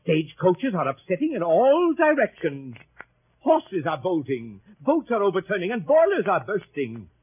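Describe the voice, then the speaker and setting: Pompous, earnest club leader, orotund radio drama speechmaking, high swooping voice, tinny vintage broadcast. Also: male voice, wildly swooping pitch, normal speed, slight background noise. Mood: pompous, solemn. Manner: orotund, oratorical, formal. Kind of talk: 1930s radio acting.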